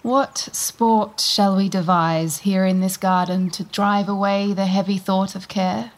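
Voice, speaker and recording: Woman as a melancholy queen, radio drama, mid pitch, sorrowful, chiding. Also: steady tone, unhurried, close to an everyday voice. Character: grieving young queen in a garden, melancholy. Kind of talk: Shakespeare radio play acting.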